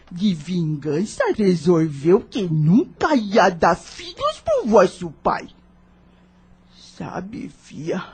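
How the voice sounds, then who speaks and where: Woman acting husky, slow old Brazilian nursemaid. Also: on the low side, darkish tone, heavily acted. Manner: slow rustic folk speech, solemn storytelling. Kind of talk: audio drama acting.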